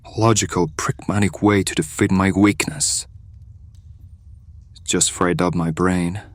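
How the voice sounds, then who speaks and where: A man's voice: sits low, steady tone, measured pace, no echo. An actor playing a moody, bitter male hireling in an audio drama, speaking in a curt, sardonic, sullen way.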